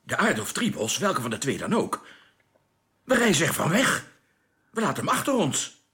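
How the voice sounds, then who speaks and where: An actor playing an anxious but commanding spaceship captain in a science fiction radio drama, giving urgent, clipped orders. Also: male voice, on the high side, wildly swooping pitch, moderate pace, pushed and bright, heavily acted. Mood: alarmed.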